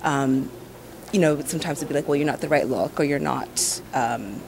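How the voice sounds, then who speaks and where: A woman in her thirties, a TV host from Nova Scotia, answering interview questions in a relaxed, chatty way full of "you know" and "um". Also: low voice, moderate pace, background noise. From Canada, Nova Scotia.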